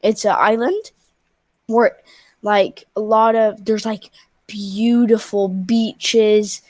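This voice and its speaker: A woman's voice: mid-range voice, flat delivery, slow pace, darkish tone, dry recording. A young girl of about twelve, from Spain, chatting casually on a video call and filling her talk with "like" and restarts. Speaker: stranger on a video chat.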